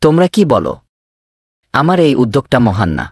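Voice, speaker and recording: A man's voice: mid-range voice, big pitch swings, a little fast, breathy edge, dry recording, studio quality. A man voicing an eager village man in a cartoon, earnest and persuasive as he lays out plans. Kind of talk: cartoon voice acting.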